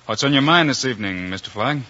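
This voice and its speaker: Plain-spoken western hero, lively pitch. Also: male voice, fast talker. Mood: steady, thoughtful. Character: calm, fair-minded male western lawman hero. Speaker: lead actor in a western. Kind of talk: 1950s western radio acting. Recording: dull, very noisy old radio recording.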